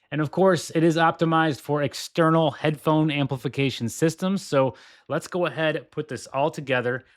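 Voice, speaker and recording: A man hosting a tech video, walking through a product demo with enthusiasm and repeating his points for emphasis. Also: medium pitch. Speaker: tech reviewer.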